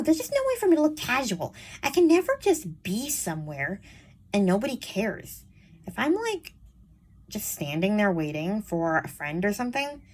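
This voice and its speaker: A woman's voice: fairly high pitch, darkish tone. A woman with a childish-sounding voice, chatting casually and frankly to her viewers, rambling with lots of fillers. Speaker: vlogger. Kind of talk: casual chat to camera.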